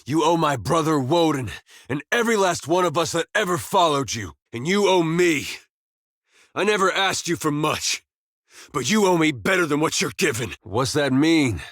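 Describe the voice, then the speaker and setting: A man's voice: lowish voice, animated, moderate pace, dry recording, lightly acted. A man playing an easygoing, loyal farm-boy soldier in a video game, with an American accent and a folksy, plainspoken, wry manner. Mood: angry, reproachful. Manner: folksy, laid-back drawl, dry humor. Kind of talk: scripted video game dialogue.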